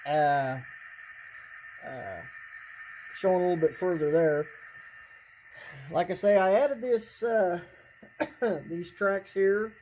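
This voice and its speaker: A hobbyist presenter giving a casual, unscripted demonstration in a halting, rambling way, often clearing the throat. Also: medium pitch, darkish tone, slight background noise, rough recording. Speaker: hobbyist presenter showing a model train layout.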